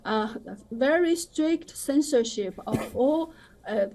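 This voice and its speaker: A woman giving opinionated commentary as a guest in a live news interview, with halting, hesitant phrasing. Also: high voice, animated, warm tone, poor audio.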